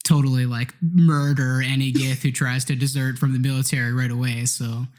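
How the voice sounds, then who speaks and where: A man's voice: mid-range voice, flat delivery, fairly quick, darkish tone. A man in casual conversation as a podcast player, describing his tabletop role-playing character.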